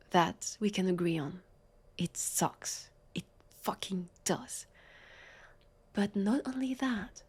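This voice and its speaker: A woman with a French accent playing a sardonic, weary, centuries-old vampire police officer in an intimate audio roleplay, breathy and sighing. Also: mid-range voice, takes it slowly, long pauses, a little mellow. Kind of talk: intimate scripted audio roleplay addressed to listener.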